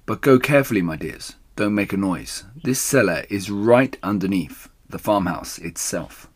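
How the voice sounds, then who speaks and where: A man voicing a fatherly fox leader in a storybook, suave and measured, savouring his words. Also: on the low side, lively intonation, breathy edge. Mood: quietly pleased.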